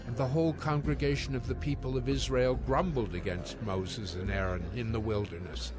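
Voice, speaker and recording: A man narrating scripture as scripted audio, with formal, measured storytelling. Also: medium pitch, steady tone.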